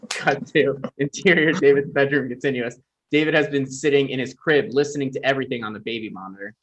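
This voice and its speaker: Mid-pitched man reading stage directions. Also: brisk, fairly bright tone, muffled recording. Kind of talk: scripted narration for table read.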